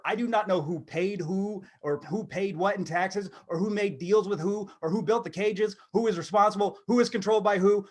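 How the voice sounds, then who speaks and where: A man in his thirties with a somewhat high voice. He talks like a comedy podcast co-host, wry and self-deprecating, with a halting, casual delivery. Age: 30s. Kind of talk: casual comedic monologue and chat.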